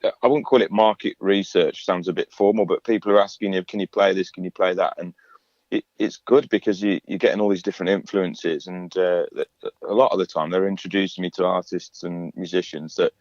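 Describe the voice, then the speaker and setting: A singer-songwriter guest in a radio interview, talking in a relaxed, chatty way with lots of fillers and restarts in his low voice. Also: brisk, no echo, dull audio. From England.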